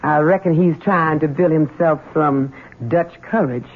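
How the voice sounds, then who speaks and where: An actress playing a deferential, kindly elderly housekeeper in a 1970s radio drama, speaking hesitantly. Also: female voice, sits low, unhurried, breathy edge. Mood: wry. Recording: narrow-band old radio broadcast.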